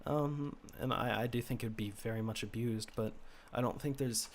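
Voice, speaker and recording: A young man from the United States streaming a game, musing in a low-key, rambling way and keeping his voice lower than usual. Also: normal speed, slight background noise. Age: teens. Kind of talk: casual chat while gaming.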